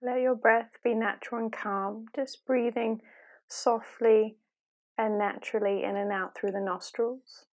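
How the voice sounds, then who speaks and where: A woman leading a guided meditation in a calm, unhurried, gently instructive way, with long pauses. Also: fairly high pitch.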